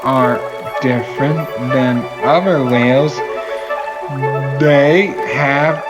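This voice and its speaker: A man narrating a puppet show like a storybook, deliberately and in short phrases. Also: medium pitch, over loud music, poor audio.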